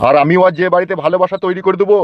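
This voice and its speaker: A man's voice: high voice, steady tone, racing, no room sound, natural voice. A man voicing a hot-tempered, stubbornly proud village father in a Bengali comedy cartoon, with a rural West Bengal accent and a blustering manner. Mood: angry, stubborn. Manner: blustering, threatening. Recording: dull lo-fi sound, faint music.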